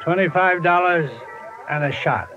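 A man's voice: high-pitched, a little slow. A man playing a rambling, forgetful, self-mocking drunken old informant in a 1950s radio drama, slurring grandly. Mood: wheedling. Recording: tinny vintage radio recording, music behind.

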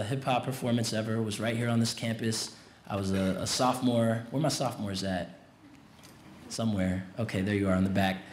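Relaxed man speaking in a roomy tent. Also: mid-range voice. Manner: relaxed, conversational, leads crowd in a chant. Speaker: commencement guest speaker. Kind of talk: commencement speech. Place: large tent at an outdoor graduation. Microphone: podium mic on a stand. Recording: roomy tent sound with faint noise.

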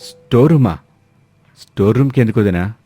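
A man's voice: lowish voice, animated, fairly quick, mellow, breathy edge, dry recording, soft background music. A man voicing a concerned young brother-in-law, earnest and questioning, in a dramatized family story. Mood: puzzled, indignant.

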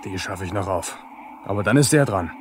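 A man's voice: deep voice, expressive, a little fast. An actor playing a cocky young lineman, sullen and mocking in colloquial speech, in a 1970s radio drama. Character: cocky, defiant young male telephone lineman. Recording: faintly noisy 1970s vinyl radio play.

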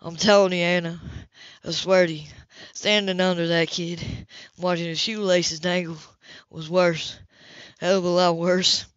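A woman's voice: on the low side, flat delivery, a little slow, no room sound, voice pushed down, close to an everyday voice. Woman voicing a blunt, hot-headed man, muffled recording. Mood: shaken, pleading. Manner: blunt, impatient, sweary. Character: hot-headed male racer, gruff, defensive, earnest lover.

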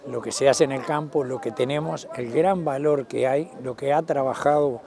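A man's voice: mid-range voice, moderate pace, vocal fry, a little mellow. An older man with a Uruguayan accent answering an interviewer outdoors. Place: outdoors at a showground. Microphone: handheld interview microphone.

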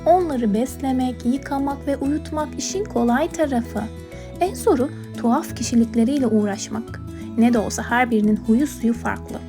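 A woman narrating a scripted children's bedtime story expressively, as a storyteller. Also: sits high.

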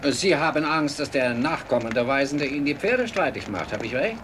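An actor playing a polite, halting British gentleman reporter in a radio play. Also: mid-range voice, animated, background noise, natural voice. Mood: polite, curious. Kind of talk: German radio play acting.

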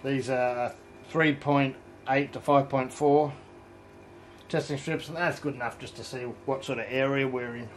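Relaxed middle-aged man explaining as he works. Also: medium pitch, a little slow, faint music behind. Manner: relaxed, explanatory, thinking aloud while working. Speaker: home brewing presenter. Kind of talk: casual hands-on demonstration. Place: indoor home brewery.

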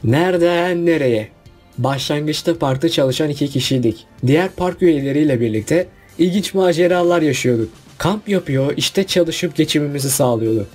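A man talks through a countdown in a casual, chatty voice-over. His voice sits a little high but sounds mellow and husky. Faint music plays underneath. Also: moderate pace. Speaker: video narrator. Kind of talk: list video voice-over.

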